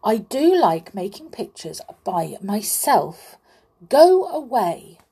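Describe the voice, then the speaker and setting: A woman's voice: mid-range voice, sing-song, measured pace, vocal fry, a little mellow, slightly rough, exaggerated voice. A woman voicing an indignant, proud male clockwork clown in a children's story, speaking emphatically. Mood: cross.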